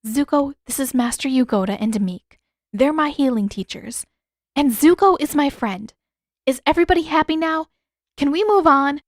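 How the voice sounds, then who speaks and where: A woman's voice: high-pitched, normal speed, dry recording, clean studio sound, pitched above the performer's own voice, lightly acted. A woman voicing an urgent, defensive teenage girl in an audio story, blurting and insistent. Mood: exasperated.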